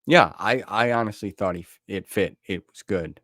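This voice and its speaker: A man hosts a casual podcast conversation. His voice is very low in pitch and recorded dry, with hardly any room around it. He talks in an informal, chatty way. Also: normal speed, fairly bright tone, no echo. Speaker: podcast host. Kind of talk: casual chat.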